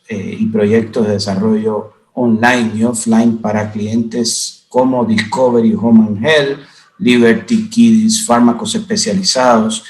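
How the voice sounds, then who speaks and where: A man from Cuba moderating a webinar panel, talking in a measured way with many hesitant "eh" fillers. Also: lowish voice. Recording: echoey room.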